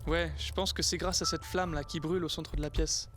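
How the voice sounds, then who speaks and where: A performer playing a nervous, fussy companion who protests easily and hushes others. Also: medium pitch, steady tone, fairly quick, faint music behind, close to an everyday voice.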